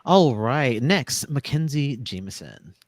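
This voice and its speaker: A man's voice: medium pitch, sing-song, slow pace, no echo. A man casually moderating a panel as its host, close on a studio mic.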